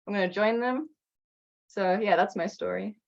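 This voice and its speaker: A woman's voice: medium pitch, moderate pace, creaky. Laid-back woman, lots of likes, muffled video call sound. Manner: easygoing, says like and uh often. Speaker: community resident. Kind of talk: casual chat on a video call. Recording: dull lo-fi call audio.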